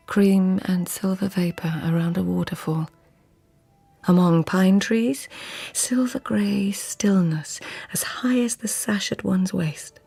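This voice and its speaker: An actress playing a pensive, sharp-witted court lady of ancient Japan in a radio drama, husky and measured. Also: fairly low pitch, no room sound, full character voice. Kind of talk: radio drama acting with measured poetic narration.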